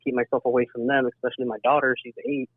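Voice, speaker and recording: A man's voice: mid-range voice, flat delivery, fast talker, no room sound. Man on a thin phone-like line. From south Texas, United States. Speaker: interview guest, emergency dispatcher. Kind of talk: casual personal account in a podcast interview. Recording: thin phone-like band-limited sound.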